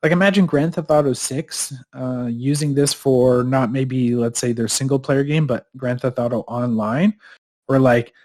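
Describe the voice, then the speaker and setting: A man's voice: medium pitch, normal speed, darkish tone. A man co-hosting a podcast in casual chat, a little stuffed up in his nose, rambling with fillers and restarts. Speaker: podcast co-host.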